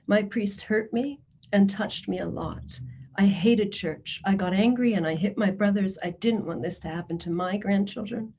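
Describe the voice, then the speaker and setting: A woman giving a prepared address to a committee as a social services presenter. She speaks in a measured, earnest way and reads testimonies in the first person. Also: medium pitch, warm tone, a little hiss or hum.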